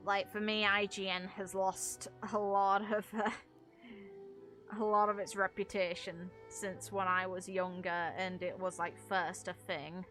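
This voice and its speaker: A woman with a British accent and a bright, forward voice talks casually as she streams a game, with music behind her. She is blunt and opinionated, dropping in the odd mild swear as she chats. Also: mid-range voice, level tone, measured pace. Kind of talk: casual chat while playing a game.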